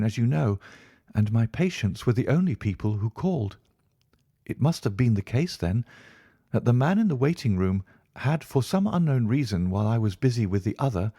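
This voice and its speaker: A man's voice: medium pitch, very animated, normal speed, mellow, husky, dry recording, clean studio sound, pitched above the performer's own voice. A man voicing an earnest Victorian doctor recounting a strange incident in an audiobook. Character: earnest Victorian doctor telling his tale. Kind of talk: audiobook character voice.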